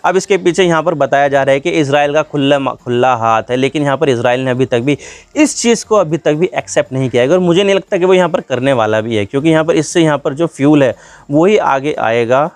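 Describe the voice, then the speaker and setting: Male explainer host, very quick delivery, slightly high voice, informal and chatty, dry close sound. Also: expressive, no room sound. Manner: conversational, repetitive filler phrases, addresses viewers as brother. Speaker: host of a current-affairs explainer channel. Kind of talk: informal news explainer, talking to viewers.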